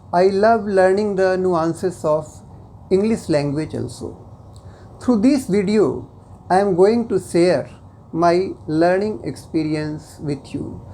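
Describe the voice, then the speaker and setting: A native Hindi speaker teaching English in a slow, deliberate way, explaining phrase by phrase and at times switching into Hindi. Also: mid-range voice, mellow, a little hiss or hum. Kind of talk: explanatory lesson.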